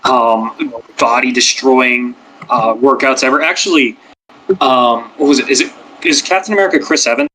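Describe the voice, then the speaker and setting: Bright-voiced man chatting casually. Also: medium pitch, moderate pace, poor audio. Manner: rambling, filler-heavy, many "like"s. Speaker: podcast panelist, ASMR creator. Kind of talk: casual chat.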